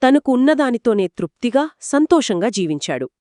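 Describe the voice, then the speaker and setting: A woman narrating a moral folktale in an expressive, lively storytelling manner. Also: fairly high pitch, moderate pace, no room sound, clean studio sound. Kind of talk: scripted two-voice folktale narration.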